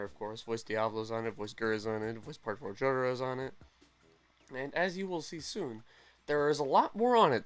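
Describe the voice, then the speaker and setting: A young man hosting a gaming channel, chatting casually and excitedly through game news, often correcting himself mid-sentence. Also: a little high. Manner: rambling, self-correcting, excitable.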